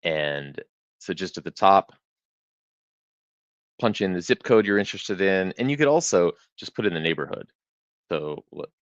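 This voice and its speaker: A man's voice: sits low, lively intonation, moderate pace, long pauses, no room sound, muffled recording. A man hosting a live real estate webinar, explaining data tools in a conversational way with frequent restarts.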